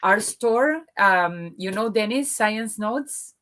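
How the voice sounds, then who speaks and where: A woman with a deep alto voice, chatting as a guest and telling lively stories. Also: slow, a little hiss or hum. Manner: lively, animated storyteller. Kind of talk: casual chat.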